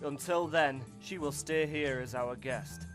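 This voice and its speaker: An actor playing a cold, condescending military officer in a fan radio drama. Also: male voice, fairly high pitch, a little slow, music in the background, natural voice.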